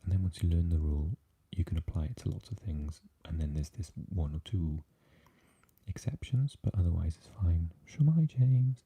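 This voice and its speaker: Deep, husky Welsh man, soft-spoken. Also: normal speed. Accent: Welsh, Swansea. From Wales. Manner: mixes unvoiced whispering with low, deep speaking. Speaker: ASMR live streamer reading chat. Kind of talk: soft-spoken live chat with viewers.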